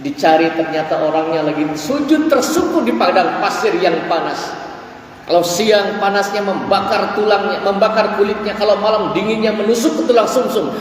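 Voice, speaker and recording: A man in his forties preaches at dawn in a high, ringing voice, drawing his phrases out and reciting Arabic verses. He sounds distant from the microphone in a big echoing hall, and a faint hum sits behind him. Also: moderate pace. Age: 40s. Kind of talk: dawn mosque sermon. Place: mosque. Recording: echoey hall, voice from far off.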